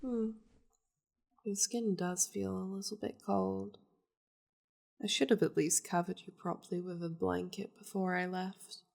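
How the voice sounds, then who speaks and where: A seductive demon lady of war, acted by a woman for an intimate ASMR roleplay. She speaks slowly and possessively, with a breathy, husky tone and a gravelly fry. Her manner is teasing and domineering, close to a whisper at times. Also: mid-range voice, a little mellow. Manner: slow, breathy, teasing, often dropping into whispers.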